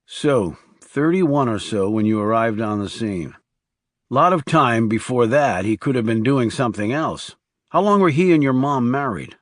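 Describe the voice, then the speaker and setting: A man's voice: mid-range voice, lively intonation, moderate pace, no room sound, clean studio sound, lightly acted. A man voicing a guarded, blunt male assassin in terse audiobook dialogue. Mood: probing. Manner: terse, questioning.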